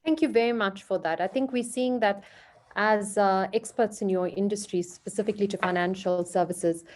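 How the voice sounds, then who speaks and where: A woman's voice: mid-range voice, steady tone, normal speed, a little mellow. Conversational woman moderating online. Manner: loose and conversational, hunts for words. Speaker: panel moderator. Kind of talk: hosting an online panel.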